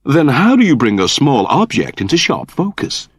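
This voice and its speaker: A man's voice: medium pitch, wildly swooping pitch, moderate pace, husky, no echo, clean studio sound, pitched above the performer's own voice, heavily acted. A man voicing an eager, fussy male android who has just become human, prim, wordy and naively earnest, in an audiobook comedy. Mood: earnest, puzzled. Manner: prim, wordy, over-polite.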